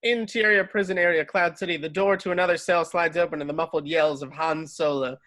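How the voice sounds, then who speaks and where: A man's voice: on the high side, steady tone, a little fast, a bit bright. A man narrating screenplay stage directions in an amateur table read, sometimes stumbling and rereading. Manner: stumbles over words and rereads.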